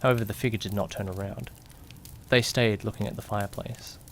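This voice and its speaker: A man's voice: fairly low pitch, steady tone, brisk, a bit bright, a little hiss or hum. A man playing a curious, jittery young detective's companion who narrates a mystery audio drama with suspense and questioning asides. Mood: suspenseful, curious. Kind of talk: amateur audio drama narration in first person.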